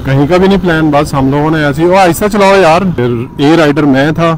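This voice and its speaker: A man's voice: on the high side, brisk, poor audio. A man, a vlogger chatting casually with friends while out on a ride. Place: outdoors on a moving vehicle. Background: faint traffic and vehicle noise.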